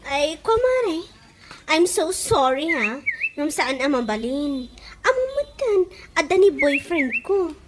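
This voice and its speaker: A woman's voice: high-pitched, sing-song, slow pace, exaggerated voice. A woman with an Ilocano accent playing a chatty, brash friend who works in the city, in a radio comedy drama. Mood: frank, teasing. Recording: clearly noisy radio drama recording.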